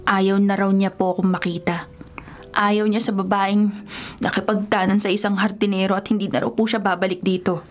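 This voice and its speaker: A woman's voice: mid-range voice, flat delivery, normal speed, lightly acted. A woman playing a lovesick young daughter of a rich family in a Filipino radio drama, speaking in a plaintive, earnest way. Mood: resigned, upset. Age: young adult.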